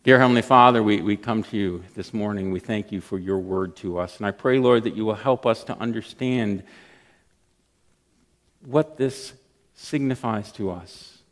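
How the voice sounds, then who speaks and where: Earnest middle-aged pastor in a reverberant hall. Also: male voice, on the low side. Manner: earnest, emphatic preaching with pauses and restarts. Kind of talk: sermon with scripture reading and prayer. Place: church sanctuary, at a lectern. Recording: long church hall reverb.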